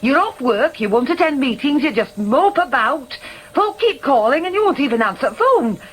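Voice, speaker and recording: An actress playing a fed-up working-class wife with a Northern English accent in a radio drama, forceful and reproachful. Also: female voice, high voice, very animated, moderate pace, echoey room, low-quality recording. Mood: exasperated.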